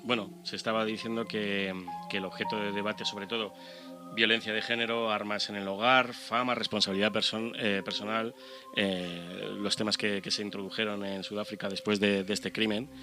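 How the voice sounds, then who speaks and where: A man with an accent from Spain speaking as a radio panelist in a halting, repetitive, conversational way. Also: lowish voice, flat delivery. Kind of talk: casual radio discussion. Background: music.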